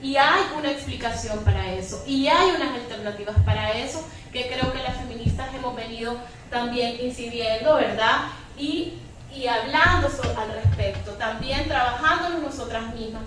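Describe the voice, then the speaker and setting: High-pitched woman in an echoey hall. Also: normal speed. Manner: analytical, emphatic, often adds a questioning tag. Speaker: panelist in a public debate. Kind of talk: impassioned argument in a public debate. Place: echoey room at a public debate. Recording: echoey hall, distant mic, background noise.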